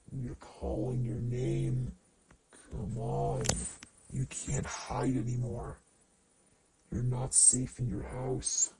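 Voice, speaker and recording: Guy acting as a creepy sinister kidnapper in a horror broadcast, crawling slow, taunting, crowdy noise behind. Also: mid-range voice. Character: menacing sinister kidnapper luring listeners from their homes.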